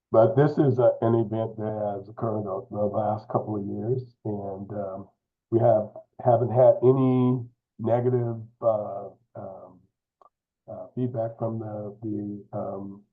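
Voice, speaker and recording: Staff man by phone, low husky voice, tinny line, halting. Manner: halting, many fillers. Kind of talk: meeting remarks.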